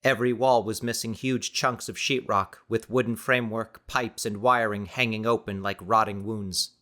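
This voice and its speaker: A man reading dramatic scripted audiobook narration in a measured, suspenseful manner. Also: fairly low pitch, fairly even intonation, pushed and bright.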